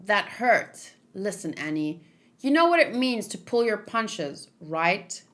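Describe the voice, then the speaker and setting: A woman's voice: medium pitch, big pitch swings, slow, a bit bright. A woman reads out young manga fighters trading banter. The delivery is blunt and challenging, combative in the way of sparring partners in a comic. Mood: taunting, sparring. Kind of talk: dramatic read-aloud of manga dialogue.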